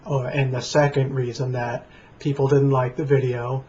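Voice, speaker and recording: Flat, deadpan American man, dull sound. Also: medium pitch, normal speed. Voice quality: flat, plain voice. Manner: deadpan, dry. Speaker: vlogger. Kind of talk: casual rambling talk to camera. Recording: dull lo-fi webcam sound, faint noise.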